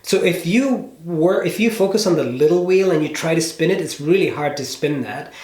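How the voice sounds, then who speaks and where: A man with a slightly high voice speaks in a roomy, echoing space. He coaches in a casual, conversational way, explaining with emphasis and tossing in little check-ins as he goes. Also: lively intonation, normal speed, a little mellow. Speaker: business coach. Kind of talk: casual coaching phone call. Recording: roomy, echoey room sound.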